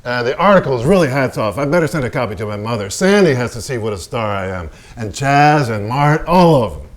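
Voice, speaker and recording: An actor in a staged reading playing a brash, vulgar and troubled celebrated painter, with a raw, profane, halting delivery. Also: male voice, mid-range voice, animated, close to an everyday voice. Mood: cocky, boastful. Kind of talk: theatrical staged reading, dramatic monologue.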